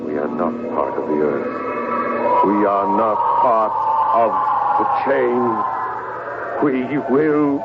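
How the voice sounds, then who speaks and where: An actor playing a grave, fervent secret agent in a radio drama, intense and halting. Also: male voice, fairly low pitch. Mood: grave, pained. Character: grave, fervent male secret agent receiving alien warnings. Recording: dull, very noisy old radio broadcast.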